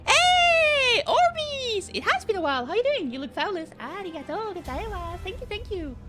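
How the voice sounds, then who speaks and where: A woman's voice: extremely high pitch, big pitch swings, moderate pace, over loud music. A young woman streaming a game, reading the subtitles aloud with playful chatter. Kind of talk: casual gameplay commentary.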